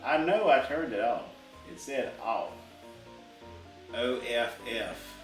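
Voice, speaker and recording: A man's voice: medium pitch, lively intonation, slow pace. Teasing man in a roomy, distant recording. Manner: teasing, wisecracking. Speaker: co-host of a home unboxing vlog. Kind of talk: casual joking banter. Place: indoors in a trailer home. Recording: roomy echo, off the mic, faint music.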